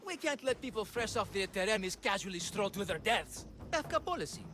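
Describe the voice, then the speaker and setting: A man's voice: high voice, sing-song, fairly quick. An actor playing a flamboyant, scheming ancient Greek showman in a video game, grandiose and prone to flustered stammering, with music behind him. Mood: grandiose hawking. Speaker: carnival-style promoter. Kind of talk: theatrical video game acting.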